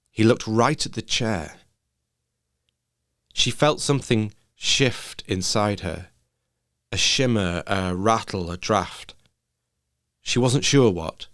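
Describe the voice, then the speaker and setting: A man narrating a literary ghost story in a measured, hushed manner of short phrases. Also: fairly low pitch, dry recording, clean studio sound.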